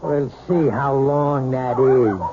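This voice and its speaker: An American man playing a gruff, impatient, domineering husband in 1970s radio drama style, curt and sarcastic. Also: mid-range voice, slow. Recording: dull, noisy old radio broadcast.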